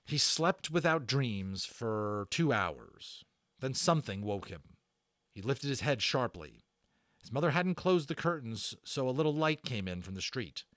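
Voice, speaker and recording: A man narrating a children's novel in a theatrical way, stressing words and pausing for drama. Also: medium pitch, very animated, a bit bright, no echo, studio quality. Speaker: audiobook narrator and channel host. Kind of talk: dramatic read-aloud storytelling of a children's novel.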